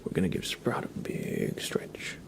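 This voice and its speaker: A soft-spoken man hosting a live ASMR stream, chatting casually with viewers and often dropping into whispers, close to the microphone. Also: a little slow. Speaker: ASMR livestreamer, host.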